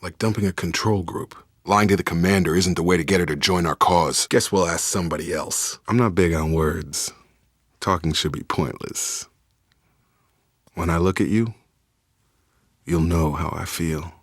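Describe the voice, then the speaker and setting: A man's voice: deep voice, steady tone, moderate pace, husky, no echo, clean studio sound. A man playing a loyal, guarded young soldier in a sci-fi video game, speaking in an earnest, controlled, matter-of-fact way. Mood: grave, subdued.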